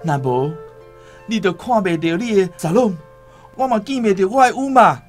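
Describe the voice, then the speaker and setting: A man's voice: high voice, lively intonation, moderate pace, soft background music. Defiant young Taiwanese rebel man. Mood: urgent. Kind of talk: Taiwanese radio drama acting.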